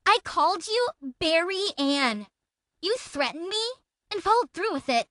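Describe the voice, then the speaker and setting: A woman voicing an aggressive, boastful female harasser in a melodramatic dramatic reading, with hectoring, drawn-out emphatic words. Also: very high voice, big pitch swings, fairly bright tone, no room sound, studio quality, exaggerated voice. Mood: indignant, taunting.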